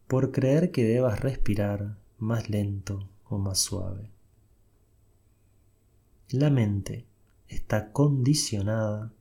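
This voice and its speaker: A man leading a guided meditation, giving soft, unhurried instructions with long pauses. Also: on the low side, slightly rough.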